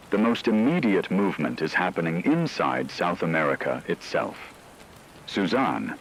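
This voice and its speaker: A man playing a grave radio anchor, delivering a scripted emergency broadcast in a measured, formal manner. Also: deep voice, fairly bright tone, a little hiss or hum, poor audio.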